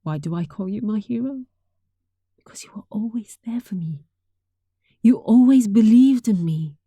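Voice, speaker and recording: A woman's voice: mid-range voice, animated, normal speed, no room sound. A woman playing a sweet, affectionate young catgirl roommate in an intimate roleplay, speaking tenderly and breathily, often close to a whisper. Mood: tender, heartfelt. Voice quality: soft, breathy. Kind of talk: intimate roleplay audio, softly acted monologue.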